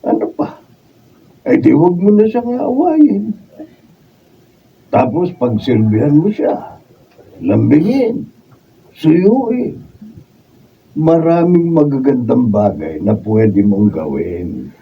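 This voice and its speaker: Radio drama, frank encouraging Filipino confidant, unhurried and very lively; distant mic. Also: male voice, on the high side, full character voice. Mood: urging. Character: frank, encouraging male adviser to a troubled wife. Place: radio drama recording session. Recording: dull, distant, slightly noisy raw video.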